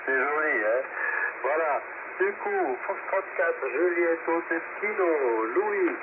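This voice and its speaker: A man's voice: fairly high pitch, animated, takes it slowly. A man, an amateur radio operator, chatting casually over the air and peppering his talk with tag words. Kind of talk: casual radio contact chat. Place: radio transmission with static behind. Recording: very noisy narrowband shortwave radio link.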